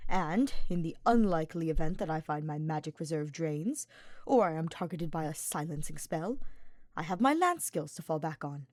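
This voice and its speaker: A woman voicing a grumpy, sardonic male dark mage in an audiobook, speaking in formal, dismissive phrases. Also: low-pitched, no echo, studio quality. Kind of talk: fan fiction audiobook character voice.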